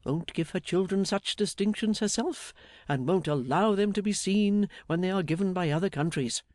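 A man voicing a jolly, blustering older English gentleman in an audiobook, fatherly, emphatic and effusive. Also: high-pitched, big pitch swings, unhurried, no echo, clean studio sound, pitched above the performer's own voice, heavily acted. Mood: emphatic, proud. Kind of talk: dramatised audiobook character.

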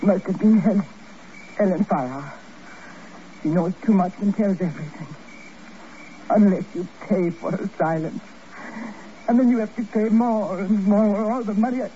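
An actress playing an overwrought, defiant and glamorous screen star, theatrical and impassioned, in a 1950s radio melodrama. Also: female voice, mid-range voice, normal speed, long pauses, warm tone, a little hiss or hum, natural voice. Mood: anguished. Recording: muffled vintage 1950s radio recording.

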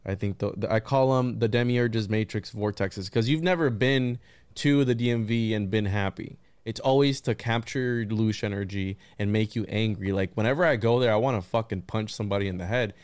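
Joking male podcast host, smooth voice. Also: fairly low pitch, a little fast, a little mellow, no echo. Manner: joking, conspiratorial banter with swearing. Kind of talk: casual podcast conversation.

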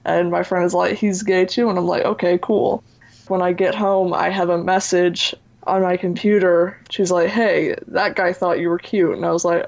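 A teenage boy who still has a high voice, talking earnestly as an interview guest and using lots of "you know" and "like". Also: gravelly, highs cut off. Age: teens. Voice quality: high voice for a man. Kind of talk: candid interview talk.

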